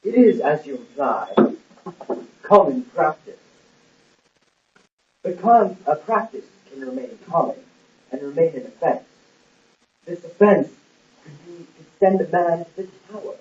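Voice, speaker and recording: A man playing a smooth, cold, scheming royal secretary in an amateur puppet-show play, speaking in a measured, formal way. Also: a little high, expressive. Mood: coolly insinuating. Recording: roomy, muffled, distant lo-fi recording.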